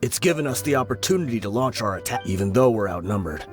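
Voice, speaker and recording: A man playing a weary, level-headed outlaw veteran in a video game, speaking in a measured, sombre way. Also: mid-range voice, expressive, soft background music, natural voice. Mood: grim resolve. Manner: measured, grave, explanatory. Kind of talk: English video game voice acting.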